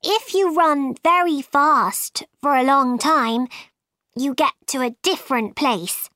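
Woman voicing a polite puzzled young girl, very high voice, slow careful delivery. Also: pushed and bright, dry recording, clean studio sound, heavily acted. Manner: wondering, polite, slightly plaintive. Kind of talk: storybook reading.

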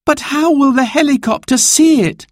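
Man putting on a high, bright young girl. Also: moderate pace, no room sound, clean studio sound. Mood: worried. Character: young girl Sarah, cheerful, caring, excitable. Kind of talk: children's story dialogue.

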